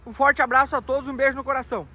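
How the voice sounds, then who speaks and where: A man's voice: extremely high pitch, little pitch movement, racing, pushed and bright. A man, a truck shop owner chatting casually to his followers and repeating friendly tag phrases. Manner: chatty, repeats "tá bom, meus amigão". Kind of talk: casual promo talk to followers.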